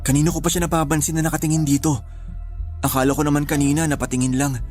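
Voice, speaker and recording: A man playing a calm, level-headed friend. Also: on the high side, natural voice. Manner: measured, reassuring. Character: calm, steady young male friend. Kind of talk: radio drama acting. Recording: music bed behind.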